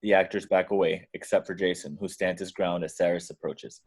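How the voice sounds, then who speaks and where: A man's voice: low voice, steady tone, brisk, gravelly, darkish tone, slightly rough. A man reading out the action descriptions as scripted narration in a table read.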